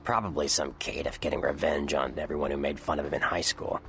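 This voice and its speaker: An actor playing a worldly, sardonic old vampire informant in a video game, gravelly, with a dry, wry, slangy way of explaining things. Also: male voice, a bass voice, flat delivery, quick pace, a bit bright, soft background music, exaggerated voice. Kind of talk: video game character dialogue.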